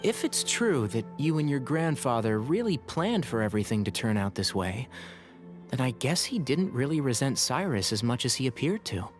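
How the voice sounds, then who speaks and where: Guy as mild thoughtful game scholar, pitch moves a lot, faint music. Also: big pitch swings, moderate pace, natural voice. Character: mild, thoughtful former student, male. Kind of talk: video game voice acting.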